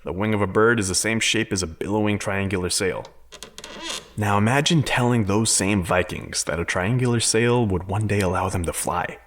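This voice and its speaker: A confident male scientist in a sci-fi audio drama, played by an actor, with a low voice. He lectures earnestly and argues for bold ideas with an open mind. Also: moderate pace, a bit bright. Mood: explaining. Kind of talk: dramatic audio fiction acting.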